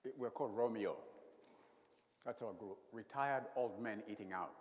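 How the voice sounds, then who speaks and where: An older retired priest from Uganda, preaching an animated sermon with repetition and humor. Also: male voice, fairly low pitch, normal speed. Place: church. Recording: long church reverb.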